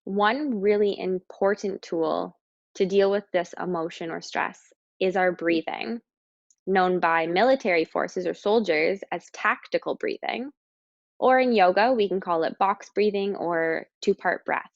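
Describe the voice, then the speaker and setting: A woman leading a guided meditation, speaking calmly and slowly with pauses as she gives instructions. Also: on the low side, creaky, no room sound, dull audio. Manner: calm, slow, instructing with pauses.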